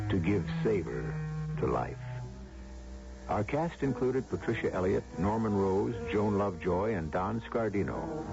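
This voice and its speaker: A man hosting a radio drama with scripted, theatrical narration in a measured manner. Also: lowish voice, creaky. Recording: muffled old radio broadcast recording.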